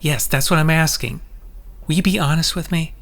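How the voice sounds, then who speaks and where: An actor playing an intense, possessive, manipulative telepath lover in an audio drama, pressing in a coaxing, insistent way. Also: male voice, fairly high pitch, normal speed, vocal fry, fairly bright tone. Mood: wounded, insistent.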